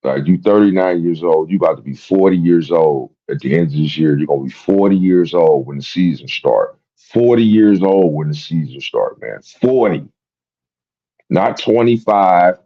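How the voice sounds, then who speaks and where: Deep-voiced man ranting about basketball. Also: unhurried, warm tone, breathy edge, lo-fi sound. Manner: rambling, repetitive, profane. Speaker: sports podcast host. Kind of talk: casual sports commentary.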